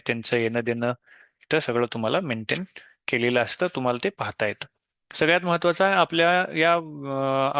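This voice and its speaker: Male software demo presenter, Maharashtrian accent. Forward, bright tone, unscripted step-by-step explaining, very dry room. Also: mid-range voice, no echo. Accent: Indian, Maharashtrian. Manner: explanatory, step by step, mixing in English tech terms. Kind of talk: unscripted software tutorial walkthrough.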